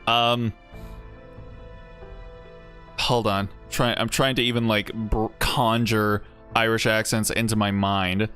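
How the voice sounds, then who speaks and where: A man's voice: lowish voice, flat delivery, takes it slowly, long pauses, a bit bright, soft background music. A man chatting casually on a game stream, rambling and cutting himself off, with frequent laughs. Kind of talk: casual game stream chat, reading game text aloud.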